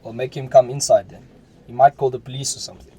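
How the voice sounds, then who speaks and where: Young man as a mellow-voiced, irritable heist planner. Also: medium pitch, level tone, quick pace, husky, natural voice. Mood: annoyed, urgent. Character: irritable, scheming male bank robbery planner. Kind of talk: amateur radio drama acting.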